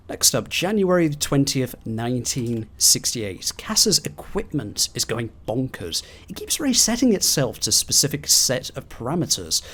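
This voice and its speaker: A man doing video game commentary and reading in-game documents aloud in a theatrical, expressive way. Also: medium pitch, slightly rough. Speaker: gaming video host.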